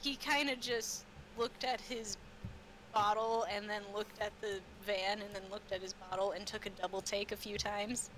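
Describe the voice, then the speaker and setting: A woman narrating a tabletop game as game master, improvising haltingly and repeating phrases for emphasis. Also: mid-range voice, fairly even intonation, a bit bright, low-quality recording. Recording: clear background noise, room ambience.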